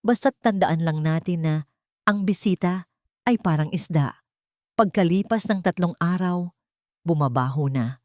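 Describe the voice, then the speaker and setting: A woman voicing a haughty, cold mother-in-law in a story, measured and full of contempt. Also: on the low side, a little mellow, no room sound, clean studio sound, close to an everyday voice. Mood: icy politeness.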